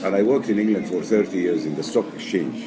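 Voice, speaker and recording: A man in his sixties, raised in Egypt, answering interview questions casually in halting English full of fillers and restarts. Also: sits low, a crowd in the background. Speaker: poker player guest. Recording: music playing behind.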